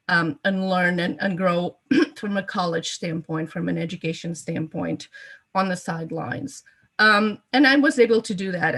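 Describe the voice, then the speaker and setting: A woman in her fifties, originally from Portugal and a native Portuguese speaker, answers interview questions about her life in a somewhat low voice. She speaks hesitantly, filling pauses with ums and repeating words as she gathers her thoughts. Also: fairly bright tone. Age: 50s. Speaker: interview guest. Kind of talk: conversational life story interview.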